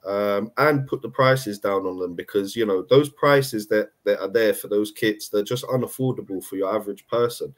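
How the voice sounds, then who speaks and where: A man, croaky from a cold, talking earnestly as a guest on a video call. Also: on the low side, moderate pace, few pauses. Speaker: guest panelist. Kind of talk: casual chat on video call.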